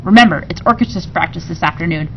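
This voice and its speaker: A woman voicing an easygoing, absent-minded father in a storybook, rambling and halting as he talks. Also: lightly acted. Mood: breezy. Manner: halting, rambling through lists. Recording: muffled recording with rumbling noise.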